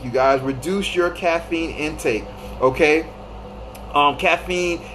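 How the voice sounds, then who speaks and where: A man's voice: fairly high pitch, slight background noise, low-quality recording. A man in his thirties hosting a live stream, giving loose, repetitive advice and greeting viewers by name. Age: 30s. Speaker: livestream host.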